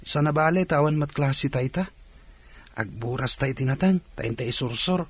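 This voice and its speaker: An actor playing an earnest, polite young Ilocano student and elder brother in a radio drama. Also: male voice, medium pitch, moderate pace, a bit bright, breathy edge, no echo.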